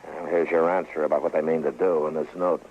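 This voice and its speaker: An actor playing a stoic, weary frontier marshal with an American accent, grave and terse in an old radio western. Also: male voice, very deep voice, flat delivery, quick pace. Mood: grim. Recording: muffled, faintly noisy old radio recording.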